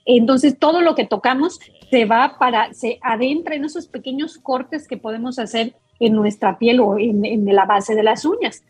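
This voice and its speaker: A woman doctor explains a health topic as a guest on a talk show, taking her time and pausing on fillers. Her voice sits a little high and sounds husky and breathy, recorded dry and close. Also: no room sound. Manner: explains patiently, with many fillers and restarts.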